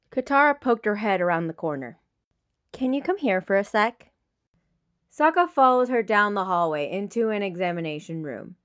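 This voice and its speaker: A woman's voice: mid-range voice, a bit bright, clear, resonant tone. A woman narrating a story in an audiobook style, reading scripted fiction. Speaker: podfic reader.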